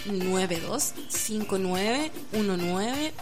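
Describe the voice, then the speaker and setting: A woman's voice: mid-range voice, expressive, very slow, music in the background. A woman with a Chilean accent hosting a casual radio chat in a playful, teasing way. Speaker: radio host.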